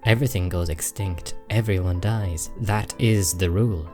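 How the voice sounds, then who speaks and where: A man acting in a radio play as a dry, measured, contemplative imp who wryly watches humanity. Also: deep voice, warm tone, soft background music, lightly acted. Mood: philosophical, measured. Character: wry, philosophical non-human imp, calm male observer of humanity. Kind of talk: radio drama dialogue.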